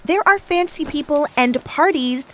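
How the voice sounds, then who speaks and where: A woman's voice: high voice, normal speed, a bit bright, a little hiss or hum. A woman playing a bubbly, girly young squad member in a sci-fi audio drama, upbeat and earnest. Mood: chipper, hopeful.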